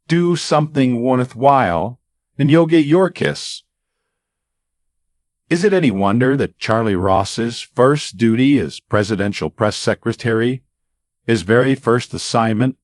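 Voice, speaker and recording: A man narrating a scripted anecdote as a storyteller, with dramatic pauses and deliberate, emphatic phrasing. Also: mid-range voice, no room sound, studio quality. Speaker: radio-style storyteller.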